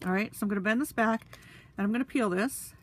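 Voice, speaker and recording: A woman's voice: medium pitch, fast, a little hiss or hum. A woman with a stuffy, sniffly nose, chatting casually with lots of fillers while she demonstrates a craft tool. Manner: talks while handling tools. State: congested, sniffly.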